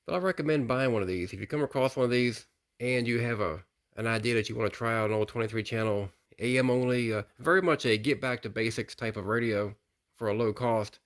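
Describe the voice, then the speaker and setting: A man's voice: mid-range voice, a little fast. A middle-aged American man casually showing off a piece from his collection, speaking in a plain, folksy way and often repeating himself. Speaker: radio collector presenting a hobby video. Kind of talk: casual product showcase narration.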